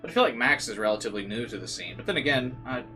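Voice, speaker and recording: A man's voice: medium pitch, lively intonation, very fast, sharp tone. Man reading a visual novel over music. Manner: informal asides, occasional stumbles while reading. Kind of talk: casual let's play, reading narration aloud with side comments.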